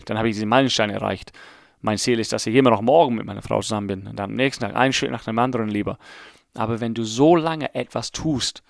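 A man's voice: medium pitch, gravelly, no echo, clean studio sound. An Englishman in his late thirties, whose first language is English, hosting a casual solo podcast in German with an English accent, rambling and mocking himself as he thinks aloud. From England. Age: late 30s. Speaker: podcast host.

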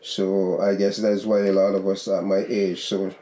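A man's voice: low-pitched, level tone, normal speed, dark tone. A man in his seventies from Barbados with a Barbadian accent, chatting casually and reminiscing as an interview guest. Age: 70s. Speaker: interview guest, host's father. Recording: roomy echo, background noise.

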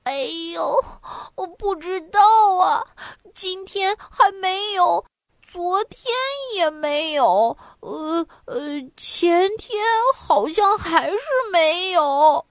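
Storybook child, very high, very slow, whiny. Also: female voice, no room sound, studio quality, full character voice. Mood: crying. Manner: squeaky, drawn-out, wailing. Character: whiny little child who hates vegetables. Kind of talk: storybook voice acting.

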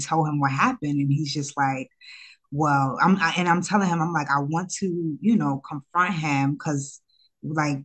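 A woman from Ghana who was raised in Harlem, telling her own story as an interview guest in a casual, halting way. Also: low voice, a bit bright. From born in Ghana, raised in Harlem, New York. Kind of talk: casual conversational interview answers, personal storytelling.

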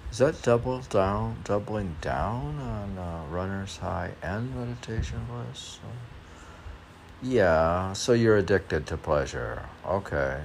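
A man reading his poems and commenting off the cuff in a rambling, halting way, asking himself questions. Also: fairly low pitch, animated, creaky, a little hiss or hum. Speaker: poet and podcaster reading own work.

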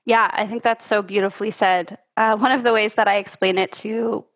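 A woman's voice: medium pitch, steady tone, fairly quick, a bit bright. A woman from Canada hosting a podcast as a life coach, talking enthusiastically and laughing often. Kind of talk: podcast interview.

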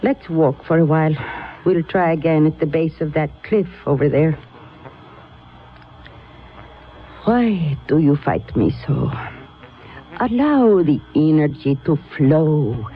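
A stern, grandiose old sorceress. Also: female voice, deep voice, expressive. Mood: patient, amused. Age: older. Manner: grandiose, deliberate, incantatory phrasing. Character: crafty, stern old woman sorceress, teasing mentor. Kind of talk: 1970s radio drama acting. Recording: dull, lo-fi vintage radio recording.

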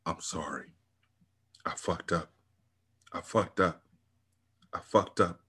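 A man's voice: normal speed, husky. A man playing a grim, muttering gang-boss father. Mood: whispered. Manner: low, flat, muttering.

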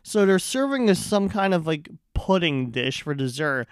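A man with a fairly high, smooth voice hosts a casual solo podcast. He rambles and stumbles as he tells stories like a stand-up off stage. The sound is dry and close. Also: sing-song, normal speed, no room sound. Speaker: podcast host and stand-up comedian.

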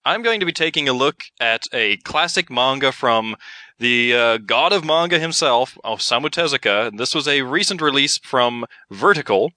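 A man's voice: medium pitch, pushed and bright, no echo. A man co-hosting a podcast, giving blunt, opinionated takes in casual chat. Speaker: podcast co-host.

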